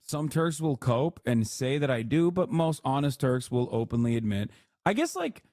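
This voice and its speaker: Streamer type: man from Turkey with an American accent, blunt and animated, swears casually, dry close sound. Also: medium pitch, moderate pace, no echo. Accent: American English. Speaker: livestream host. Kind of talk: casual live-stream chat, reacting to chat.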